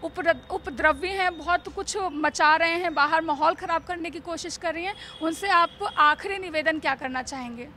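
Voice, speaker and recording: Very high-pitched woman news reporter, bright voice. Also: moderate pace, hardly any pauses, slight background noise. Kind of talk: news field reporting and interview.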